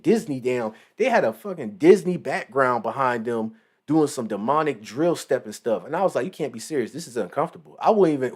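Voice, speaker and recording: A man's voice: mid-range voice, darkish tone, slightly rough. A man in his late twenties giving rambling, slangy casual commentary with lots of asides. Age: 20s. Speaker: commentary channel host.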